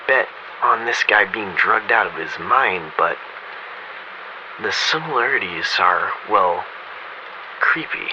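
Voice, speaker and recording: A man voicing an unnerved young man drawn to the sea, speaking haltingly and trailing off as if entranced, heard on a muffled tape recording. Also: low voice, noisy background.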